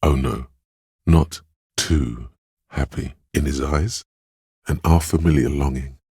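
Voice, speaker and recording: Grave inner voice of empathy, literary game narration, rumbling bass, gravelly, measured, close dry recording. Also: male voice, mellow, dry recording, full character voice. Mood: gentle, sorrowful. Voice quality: gravelly, husky.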